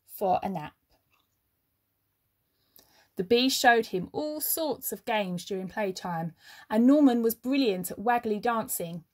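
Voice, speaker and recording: Slow-paced woman narrating a storybook. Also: a little high, a little mellow. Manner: measured, emphatic pauses. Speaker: teacher reading a story to pupils. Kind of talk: expressive children's storybook narration.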